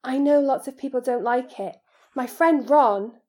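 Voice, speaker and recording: A woman's voice: high voice, unhurried, dark tone, pitched above the performer's own voice. A woman playing an earnest, anxious, lonely schoolboy with a hesitant manner in an audiobook. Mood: modest, uneasy.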